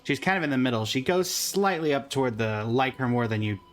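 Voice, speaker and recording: A man's voice: mid-range voice, animated, a little fast. Casual man reacting to a game, music behind. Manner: informal, rambling, offhand. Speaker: gaming reaction host.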